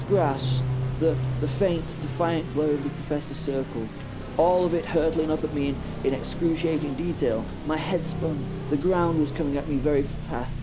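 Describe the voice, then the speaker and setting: A man's voice: a little high, natural voice, low-quality recording. A man voicing a brash, cocky WW1 pilot, telling his tale wryly and breathlessly, with stammered restarts. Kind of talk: audio drama first-person narration.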